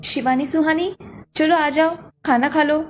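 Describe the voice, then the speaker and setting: Casting: woman to play high-voiced woman of the household for an animated family drama, light music underneath. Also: a little slow. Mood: caring, gentle. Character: caring mother and her sulky college-age elder daughter. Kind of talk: dramatised Hindi cartoon story dialogue.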